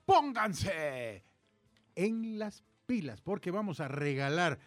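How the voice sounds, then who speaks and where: Salvadoran guy hosting radio, chatty, highish voice, close dry mic. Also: big pitch swings, slow, no echo, faint music behind. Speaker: radio show host. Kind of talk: casual radio banter. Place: radio studio.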